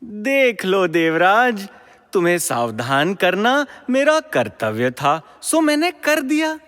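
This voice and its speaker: Mythological sage, high-pitched, unhurried, sing-song, teasing, theatrical, audio drama, reverberant. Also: male voice, huge pitch swings, a bit bright, heavily acted. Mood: needling, warning. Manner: sing-song drawn-out chanting, theatrical, needling. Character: celestial wandering sage, teasing messenger of the gods. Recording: long hall reverb.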